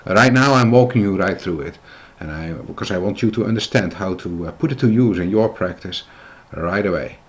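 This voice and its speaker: Dutch-accented man, low-pitched business coach. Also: husky, dull audio. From Netherlands. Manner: earnest, measured, lecturing to the viewer. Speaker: business consultant. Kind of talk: instructional video explainer.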